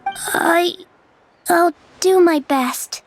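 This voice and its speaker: A woman's voice: very high-pitched, drawn-out words, slight background noise, heavily acted. An actress playing a timid, fragile young girl in a video game, speaking in a hesitant, meek way. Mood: shy, grateful. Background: background music.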